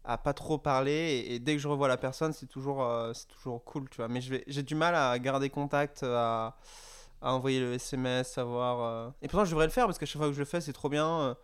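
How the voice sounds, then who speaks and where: A man's voice: medium pitch, fairly even intonation, brisk, smooth. A young man co-hosting a podcast, speaking French in an informal, slangy conversational style. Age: young adult. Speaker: podcast co-host. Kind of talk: casual conversation.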